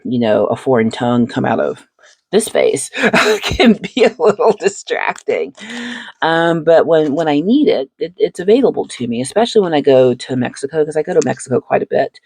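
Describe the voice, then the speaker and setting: Husky-voiced woman chatting casually. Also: extremely low pitch, darkish tone, dry recording. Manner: chatty and reflective, laughs often between thoughts; seated, talks while handling cards. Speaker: channel host. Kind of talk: casual chat while sleeving cards.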